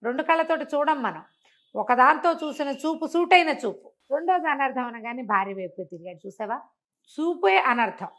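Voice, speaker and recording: A woman's voice: high voice, moderate pace. Older woman telling a moral tale. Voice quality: animated, acts out the characters' lines within the telling. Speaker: storyteller.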